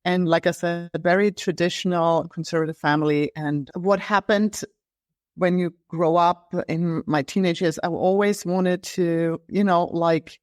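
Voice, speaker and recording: A woman's voice: on the low side, steady tone, measured pace, darkish tone, no echo, studio quality. A woman from Germany, a health coach telling her story in an interview, talking with many fillers and restarts. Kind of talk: casual interview conversation.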